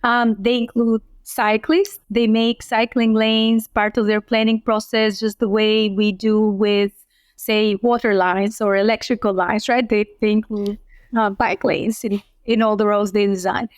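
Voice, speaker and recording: A woman from Albania, an urban planning professor, answering interview questions in a measured, explanatory way with frequent ums. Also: mid-range voice, little pitch movement, dry recording, clean studio sound.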